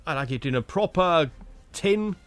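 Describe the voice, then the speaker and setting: An actor playing a vain, pompous middle-aged actor with a Welsh accent, talking in a theatrical, indignant, camp way. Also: male voice, on the high side, lively intonation, moderate pace, a bit bright. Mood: smug. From Wales. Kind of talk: improvised comedy banter.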